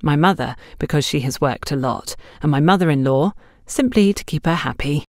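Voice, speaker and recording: A woman's voice: low-pitched, lively intonation, normal speed, darkish tone, no echo. A narrator, a woman, plays a friendly, chatty woman out shopping in an audiobook story. She is confiding and decisive, with swooping intonation in a dry, close sound. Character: chatty, friendly, decisive female shop customer.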